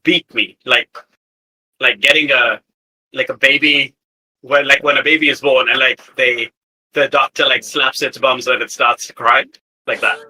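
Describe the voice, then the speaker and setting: A man in casual table talk during an improvised roleplaying game, bantering in an animated way. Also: medium pitch, normal speed, slightly rough, low-quality recording.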